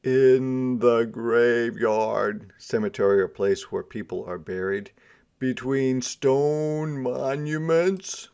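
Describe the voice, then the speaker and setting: Man, teacher reading aloud, slow pace, gravelly creak, dramatic storybook narration, explanatory asides. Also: medium pitch, darkish tone. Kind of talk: interactive children's storybook read-aloud.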